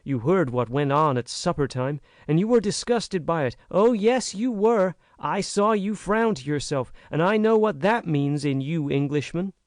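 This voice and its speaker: A man's voice: on the high side, expressive, normal speed, few pauses, dry recording, studio quality, voice pushed up, lightly acted. A man reading an audiobook, voicing an earnest, frank young American woman who pleads with emphasis. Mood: earnest pleading.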